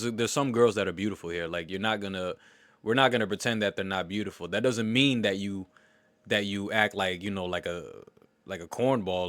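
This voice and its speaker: A man with a lowish voice talks through a dating show clip, handing out blunt, slangy dating advice. He speaks in a casual, crude way. The sound is dry and close. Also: fairly bright tone, no echo. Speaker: reaction video commentator.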